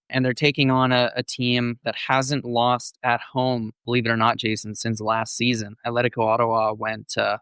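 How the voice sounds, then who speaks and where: A man's voice: medium pitch, fairly bright tone, smooth, dry recording. A man co-hosting a casual sports podcast, explaining things fluently. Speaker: podcast co-host.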